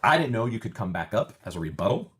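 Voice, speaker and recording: A very deep-voiced man in his forties talks on a livestream, retelling a court hearing. He speaks emphatically and sounds incredulous. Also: sing-song, fast talker, fairly bright tone, slight background noise. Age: 40s. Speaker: streamer recounting a court hearing. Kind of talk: casual livestream talk.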